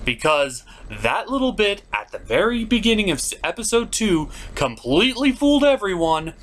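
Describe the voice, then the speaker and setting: Animated, sarcastic male cartoon reviewer. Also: on the high side, a little hiss or hum. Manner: sarcastic, theatrical. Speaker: host of a puppet cartoon review show. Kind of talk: scripted comic review narration.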